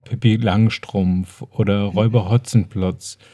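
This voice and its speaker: A man's voice: on the low side, fairly even intonation, measured pace, mellow, no echo. A man co-hosting a casual podcast, talking in a wry, hesitant way as he searches for words. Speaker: podcast co-host.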